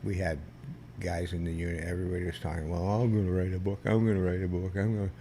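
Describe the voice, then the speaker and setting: An older man, a veteran guest, telling stories in a rambling, halting way with many restarts and a loud voice that carries. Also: low voice, flat delivery, slight background noise. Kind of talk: casual storytelling interview.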